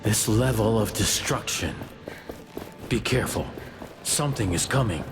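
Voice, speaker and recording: A sardonic male spirit companion in a video game, speaks with scorn and musing asides. His delivery is lively and swings in pitch over prominent music. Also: measured pace. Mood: wary.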